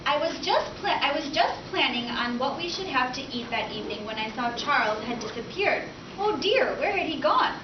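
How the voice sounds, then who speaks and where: A woman's voice: sits high, expressive, moderate pace, hardly any pauses. A woman voicing a snobbish, bossy middle-aged mother in a storybook read-aloud, with a haughty, scolding way of talking. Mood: bossy, anxious. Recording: echoey room from far, noisy, dull.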